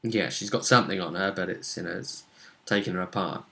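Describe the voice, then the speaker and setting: A man's voice: low voice, fairly quick, creaky, sharp tone. A man chatting casually as game master, recapping a tabletop role-playing session and often trailing off mid-sentence.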